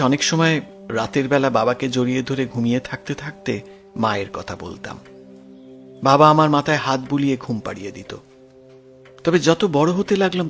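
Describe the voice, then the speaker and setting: An actor playing a grieving, lonely grown son in an audio detective drama, speaking earnestly and with emotion. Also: male voice, medium pitch, vocal fry, a bit bright. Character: grieving, lonely grown son recounting his murdered parents' past.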